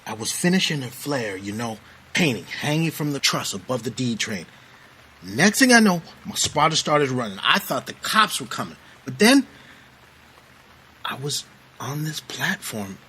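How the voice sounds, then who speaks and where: An American actor playing a jittery, newly dead young male graffiti artist in an audio drama, with halting street slang. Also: mid-range voice, big pitch swings, bright, forceful tone, breathy edge, heavily acted. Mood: shaken, confused. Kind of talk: naturalistic audio drama dialogue.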